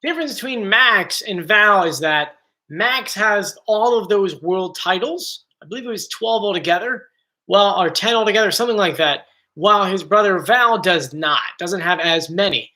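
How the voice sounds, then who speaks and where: A man's voice: sits high, moderate pace, pushed and bright. A man hosting a casual live stream from home, chatty and joking, easily drifting off topic. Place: home, bedroom area. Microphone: computer mic.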